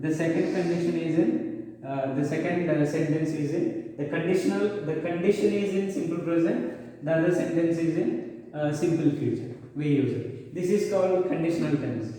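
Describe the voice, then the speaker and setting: A man's voice: a little high, warm tone. A male teacher with an Indian English accent, explaining grammar step by step and repeating himself often, with many fillers. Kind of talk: classroom grammar lesson. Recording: echoey hall, mic far off.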